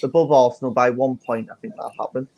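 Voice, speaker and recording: A man's voice: mid-range voice, fairly even intonation, quick pace, a little mellow. A man in a casual football chat, blunt and insistent, repeating his points.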